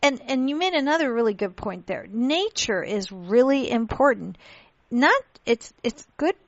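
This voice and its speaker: A woman's voice: on the high side, big pitch swings, normal speed, no echo. Earnest woman radio host, dry dull sound. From Pakistan. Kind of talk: casual interview chat.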